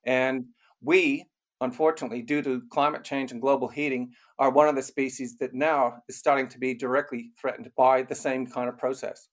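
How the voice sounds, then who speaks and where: An older man raised in Canada, an author and criminologist, giving measured, explanatory interview answers. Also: mid-range voice, fairly even intonation.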